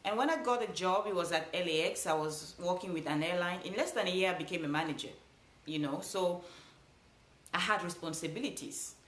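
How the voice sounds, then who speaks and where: A woman's voice: fairly low pitch, a little fast, fairly bright tone, roomy sound. A woman with a Cameroonian accent giving an earnest motivational talk, repeating phrases for emphasis. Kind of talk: motivational speech.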